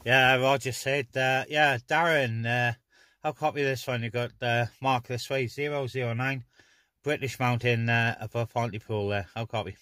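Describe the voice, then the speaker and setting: A man's voice: medium pitch, steady tone, normal speed, very noisy. A man chatting casually as an amateur CB radio operator, recorded inside a parked car.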